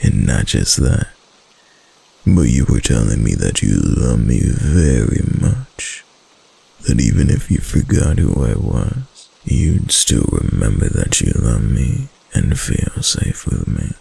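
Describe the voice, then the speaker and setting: A man voicing a doting, obsessive kitsune husband in an intimate ASMR roleplay, breathy and gravelly, with hesitant, coaxing endearments. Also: extremely low pitch, wildly swooping pitch, slow, dark tone, heavily acted. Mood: tender, obsessive. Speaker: voice actor in a roleplay.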